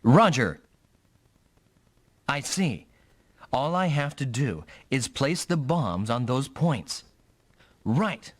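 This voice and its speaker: A man's voice: medium pitch, sing-song, slow, pauses often, dry recording. An actor playing an earnest, dutiful young male rookie mech pilot in an anime-style video game. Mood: focused, earnest.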